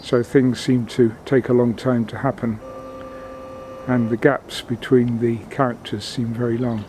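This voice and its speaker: Man commentating over clear background noise. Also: level tone, a little slow. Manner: matter-of-fact, technical. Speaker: amateur radio experimenter. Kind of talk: unscripted demonstration commentary. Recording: noisy location sound, vehicle-like background rumble.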